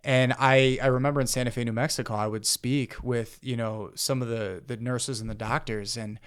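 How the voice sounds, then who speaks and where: A man's voice: medium pitch, fairly even intonation, moderate pace, clear, resonant tone. A man hosting a casual podcast conversation, rambling with lots of fillers and restarts. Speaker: podcast host.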